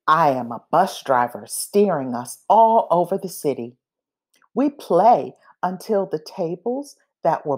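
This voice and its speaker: A woman reading a children's picture book aloud expressively, pausing between phrases and acting out the dialogue. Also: deep voice, darkish tone. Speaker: storybook reader.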